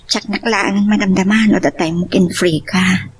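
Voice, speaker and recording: A woman's voice: medium pitch, fairly even intonation, moderate pace, slightly rough. A woman playing a sweet, coy young woman in an audio drama, polite and playful. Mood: affectionate. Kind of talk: Ilocano audio drama acting.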